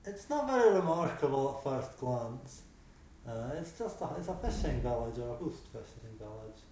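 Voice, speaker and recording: A man's voice: medium pitch, big pitch swings, moderate pace, warm tone, slight background noise. Reflective man talking casually, heavy room echo. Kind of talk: recorded interview answer. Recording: very echoey room with faint ambience.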